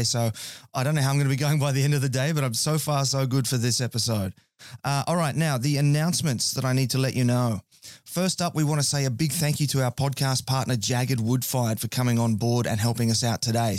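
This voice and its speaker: A hoarse man hosts a podcast and rattles through his announcements. He sounds chatty and upbeat, pokes fun at himself, and keeps his pitch in a middle range. The sound is dry and close, with no room echo. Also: darkish tone. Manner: chatty, upbeat, self-mocking. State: hoarse from a cold. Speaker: podcast host. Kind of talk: podcast intro and sponsor announcements.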